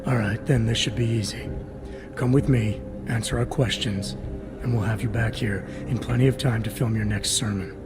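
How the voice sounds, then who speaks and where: An actor playing a stern, pragmatic agent in a video game cutscene, speaking in a blunt, matter-of-fact way. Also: male voice, fairly low pitch, fairly even intonation, moderate pace, lightly acted. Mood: wry, firm. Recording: music behind the voice.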